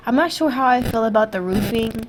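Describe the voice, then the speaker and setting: A woman's voice: a little high, fairly quick, noisy background. A young woman whose voice sounds stuffy and throaty after being sick, casually commenting over her video in a rambling, self-critical way with lots of fillers. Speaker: YouTube gaming creator. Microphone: USB desktop microphone.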